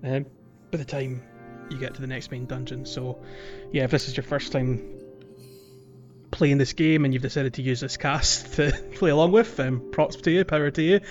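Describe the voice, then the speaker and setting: A man's voice: mid-range voice, fairly even intonation, normal speed, highs cut off, faint music behind. A man co-hosting a casual gaming podcast, talking in an informal, loosely hesitant way with British slang. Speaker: podcast co-host.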